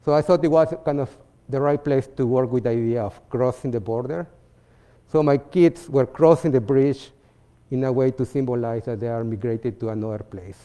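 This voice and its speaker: A man from Peru with a Peruvian Spanish accent, presenting his artwork in a seminar talk, halting with fillers and restarts. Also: mid-range voice, dark tone. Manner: halting, many fillers and restarts, non-native phrasing. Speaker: visiting artist giving a seminar talk.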